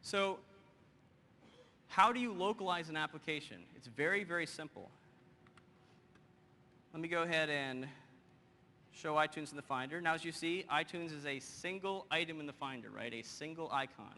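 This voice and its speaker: A man in his thirties giving a lively live software demo on a conference stage, joking with the audience. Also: on the high side. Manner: energetic, quick and joking with the audience. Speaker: presenter. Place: large conference hall stage. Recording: hall reverb, faint noise.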